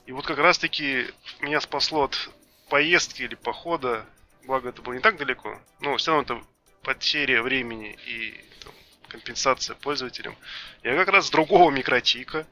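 A man's voice: medium pitch, normal speed, bright, forceful tone, faint music behind. A man in a casual tech chat, telling anecdotes in colloquial language. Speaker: podcast panelist, network engineer.

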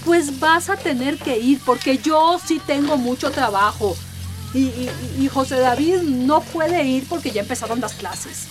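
Stern suspicious mom, scolding, slightly high voice, radio soap, music behind. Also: female voice, lively intonation, unhurried. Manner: scolding, insistent. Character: suspicious, nagging, stern mother. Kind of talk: radio soap opera acting.

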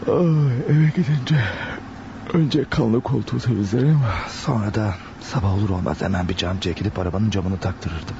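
An actor playing a nervous, scheming opportunist with a jittery, muttering way of talking in a Turkish radio drama. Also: male voice, on the low side, moderate pace, vocal fry. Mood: anxious, calculating. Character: nervous scheming male opportunist hiding stolen loot. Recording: narrow-band radio recording, faint hiss.

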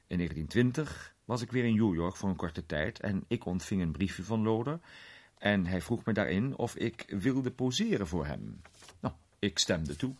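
A man playing a worldly film actor in a radio drama, recounting an unsettling memory in a conversational, sometimes hesitant, theatrical way with a husky voice. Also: on the low side, darkish tone, full character voice. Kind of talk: radio drama storytelling in character.

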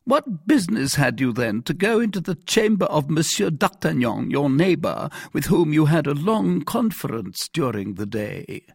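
A man voicing a pompous, exasperated police commissary in an audiobook, questioning in an imperious, drawn-out, indignant way. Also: fairly high pitch, big pitch swings, vocal fry, husky, dry recording, clean studio sound, voice pushed up, exaggerated voice. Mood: stern, accusing. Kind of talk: dramatized audiobook reading.

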